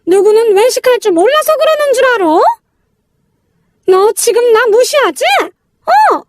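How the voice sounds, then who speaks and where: Woman voicing a high, scolding bossy sister-in-law. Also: animated, a little slow, dry recording, clean studio sound. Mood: furious. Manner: scolding, talks down in blunt informal speech. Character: rude, bossy, shrill elder sister-in-law, quick to anger.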